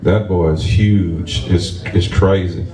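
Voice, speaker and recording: Male stage actor, animated storyteller, lowish voice, mic set back from him. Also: takes it slowly. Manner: lively storytelling, sprinkled with laughs; ends with motivational encouragement. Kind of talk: storytelling to a live audience. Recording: roomy sound from a distant mic.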